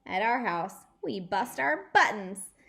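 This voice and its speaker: A woman's voice: medium pitch, animated, slow, vocal fry, fairly bright tone, full character voice. Woman playing cheeky, emphatic young schoolboy.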